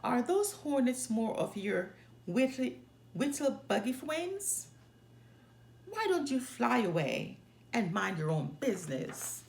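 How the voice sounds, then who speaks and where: A woman's voice: fairly high pitch, big pitch swings, slow pace, gravelly, a little mellow, echoey room, heavily acted. A woman voicing a big young male bear, a bossy, taunting gang leader in a children's storybook. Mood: sneering.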